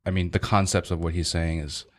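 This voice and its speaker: A man's voice: low-pitched, little pitch movement, a little fast. A man co-hosting a podcast, speaking hesitantly with many fillers. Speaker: podcast co-host. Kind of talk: casual podcast chat.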